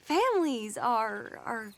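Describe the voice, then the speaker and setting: Young Southern belle, American Southern accent, high voice, theatrical, wry, spirited, game dialogue. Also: female voice, big pitch swings, slow pace, exaggerated voice. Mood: wry, indignant. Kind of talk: video game acting.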